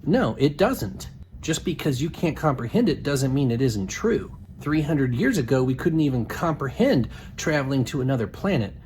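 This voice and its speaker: A man's voice: mid-range voice, very animated, moderate pace, darkish tone, slight background noise. A man hosting a debate, speaking in an emphatic, challenging, argumentative way. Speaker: show host debating.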